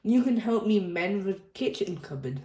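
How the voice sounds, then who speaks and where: A woman's voice: medium pitch, animated, moderate pace, dark tone, natural voice. A woman voicing a jolly, easygoing father pig in a storybook, with a chuckling manner. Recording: echoey room.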